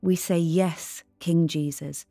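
Female devotional reader, low and soft-toned, close dry sound, faint music bed. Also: fairly even intonation, takes it slowly, no echo. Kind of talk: scripted devotional narration.

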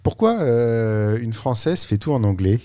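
A man hosting a podcast and interviewing a guest in a relaxed way with many fillers. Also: medium pitch, measured pace, no room sound, studio quality. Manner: relaxed, filler-heavy questioning. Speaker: podcast host.

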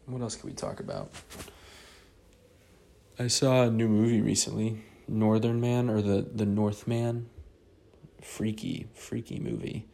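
Low, gravelly-voiced man rambling casually. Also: animated, a little slow, long pauses, dark tone. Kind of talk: casual rambling chat, with some reading aloud from a book.

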